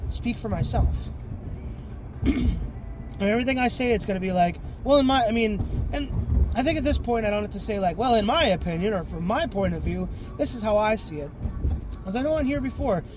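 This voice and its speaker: Male podcast host, high voice, congested and sniffly, rambling solo monologue with constant fillers; music bed underneath. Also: darkish tone, low-quality recording. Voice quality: stuffy, congested nose. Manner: clears throat and sniffs often; rambling, many fillers and self-corrections. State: tired.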